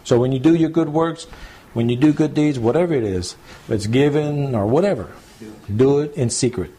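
A man, a pastor teaching scripture to a small home church group in a relaxed, repetitive, conversational way. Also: mid-range voice, moderate pace, low-quality recording. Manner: conversational, repeats points, addresses group as y'all. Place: small home gathering, recorded to CD.

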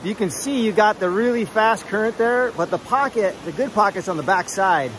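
A man's voice: high voice, normal speed, fairly bright tone, slight background noise, low-quality recording. A man filming himself fly fishing outdoors by a river, talking casually with many fillers and restarts.

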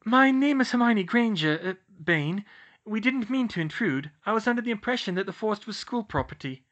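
A man's voice: high-pitched, expressive, brisk, a bit bright, muffled recording, voice pushed up. A man voicing a brainy young witch for a story, earnest and polite as she explains herself. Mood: nervous, earnest. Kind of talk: audiobook character voice.

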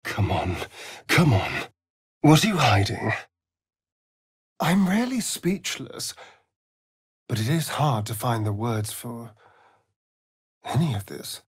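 An actor with a British accent playing a sardonic, preening vampire rogue in a fantasy video game, with an arch, drawling, theatrical delivery. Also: male voice, mid-range voice, big pitch swings, slow pace, pauses often, husky, no echo, clean studio sound, exaggerated voice. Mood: wary.

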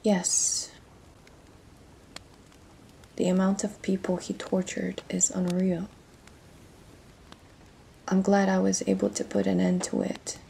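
Woman acting a slow, creaky-voiced female pirate captain. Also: mid-range voice, monotone, a little hiss or hum. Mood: reflective. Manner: hushed, slow, emotional delivery. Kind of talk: intimate audio roleplay acting. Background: faint music.

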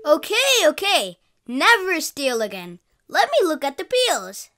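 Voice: high voice, very animated, measured pace, pushed and bright, full character voice. An actor voicing a bossy but caring young boy in a children's educational cartoon, instructive in manner. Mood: scolding. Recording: clear children's music behind.

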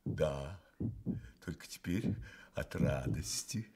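A timid, deep-voiced lion. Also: male voice, lively intonation, slow pace, warm tone, breathy edge, heavily acted. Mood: relieved, joyful. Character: timid talking lion, big-hearted and anxious. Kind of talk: Soviet radio play acting.

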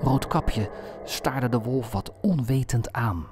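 Male storyteller, unhurried pace, mid-pitched, measured scripted delivery for a fairy-tale audiobook. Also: a little mellow, a little hiss or hum.

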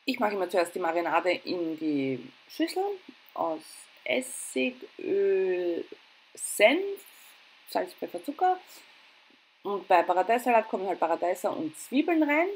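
A middle-aged Austrian-accented woman talks through home chores and cooking as a casual vlogger. Her voice sits at an ordinary pitch, and her delivery is informal and self-deprecating. A light layer of background noise runs behind it. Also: rough recording. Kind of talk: casual voice-over narration of household routine.

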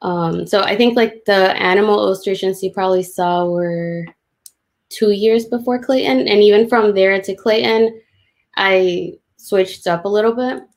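A woman's voice: mid-range voice, measured pace. A woman illustrator giving informal, self-deprecating commentary on a drawing demo, explaining as she goes on a video call.

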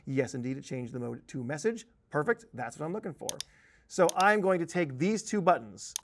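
A man in his thirties presenting a software tutorial in an animated, conversational, emphatic manner. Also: a little high, a little hiss or hum. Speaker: software trainer. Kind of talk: tutorial presentation with on-screen demonstration. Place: indoor presentation studio at a desk.

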